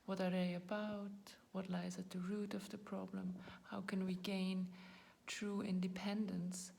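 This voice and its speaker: A woman's voice: medium pitch, level tone, unhurried, creaky, darkish tone, a little hiss or hum. A woman in her forties, not a native English speaker, hosting her own channel and talking reflectively to camera, searching for words and often dropping into a whisper. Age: 40s. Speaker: YouTube channel host. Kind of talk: personal talk to camera.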